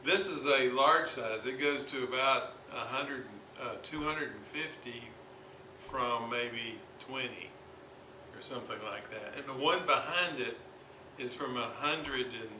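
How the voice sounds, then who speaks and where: A man giving an informal hands-on repair demonstration, thinking aloud in a halting way with long pauses. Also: mid-range voice. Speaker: hobbyist radio restorer presenting his repair. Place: indoors at a repair workbench. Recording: roomy, noisy amateur workshop recording.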